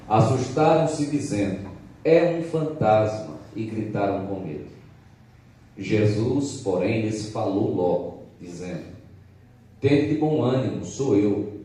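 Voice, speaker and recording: Male evangelist, Pernambuco accent, deep mellow tone, distant and echoey church recording. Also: lively intonation, slow pace. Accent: Pernambuco Brazilian Portuguese. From Pernambuco, Brazil. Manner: formal, emphatic, dramatic reading. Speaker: visiting evangelist. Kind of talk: sermon with scripture reading. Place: church service. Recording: echoey church hall, from far off.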